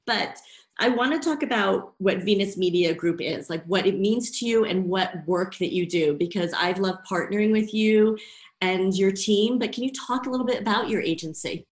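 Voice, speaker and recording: A woman's voice: mid-range voice, moderate pace. A woman hosting an interview podcast, affirming her guest and asking about her background. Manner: warmly affirming, laughs often. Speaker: podcast host. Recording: echoey room sound.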